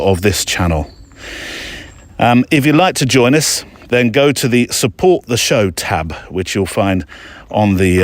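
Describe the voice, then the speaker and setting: A man's voice: fairly low pitch, no room sound. A man hosting a podcast, speaking casually in a chatty, meandering way with hesitant asides. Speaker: podcast host. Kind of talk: casual spoken show outro.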